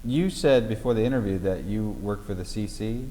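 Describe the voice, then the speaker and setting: A male interviewer with a fairly low voice asks friendly, prompting questions. He leans on small fillers as he shapes each question. The sound has a long reverb from a library room. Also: brisk, mellow. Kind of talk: oral history interview.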